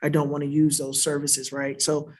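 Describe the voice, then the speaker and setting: Panelist, male, from South Florida, pitched a bit high, earnest explainer who keeps checking in with the room. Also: monotone, moderate pace. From South Florida, USA. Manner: earnest and explanatory, often adds a questioning "right?" to his points. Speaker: invited panelist answering audience questions. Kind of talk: unscripted panel answer. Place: church worship center.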